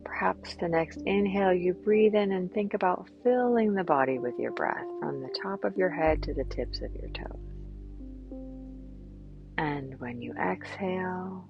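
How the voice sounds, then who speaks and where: A woman guiding a meditation in a gentle, soothing manner, with long pauses and a soft voice that turns breathy at times. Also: lowish voice. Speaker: yoga teacher and meditation guide. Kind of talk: guided meditation. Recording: dull, lo-fi sound. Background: clear background music.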